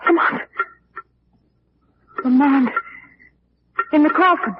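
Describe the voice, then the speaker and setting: Sleuth's jittery companion: very high, animated, breathless, dull vintage radio sound. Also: female voice, slow. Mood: alarmed. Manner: breathless, alarmed, emphatic. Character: anxious, excitable woman companion of a detective. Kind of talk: 1940s radio mystery acting. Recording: dull old radio recording, faint noise.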